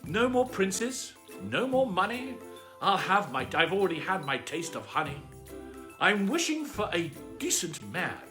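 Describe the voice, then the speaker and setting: A man's voice: high voice, big pitch swings, unhurried, over music, pitched above the performer's own voice. A man voicing a feisty, wary young woman in a theatrical storybook reading. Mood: pleading, wary.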